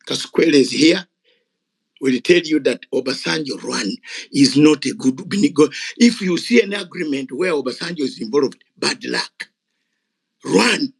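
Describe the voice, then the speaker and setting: A man's voice: high voice, creaky, fairly bright tone. An older man speaking Ugandan English, giving an impassioned, unscripted speech at a gathering. He is fiery and emphatic, repeating words for force. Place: gathering of activists.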